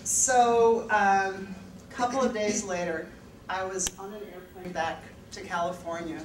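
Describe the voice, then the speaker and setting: A woman's voice: mid-range voice, steady tone, unhurried. A woman in her fifties from the Netherlands, a financial advisor telling her personal story to an audience at a university event, candid, laughing often and using many fillers. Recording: echoey room, mic at a distance, background noise.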